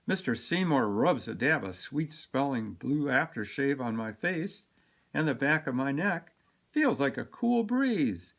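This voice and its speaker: A man reading a children's picture book aloud in an expressive, deliberate storytelling manner. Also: medium pitch, vocal fry. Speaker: storybook reader.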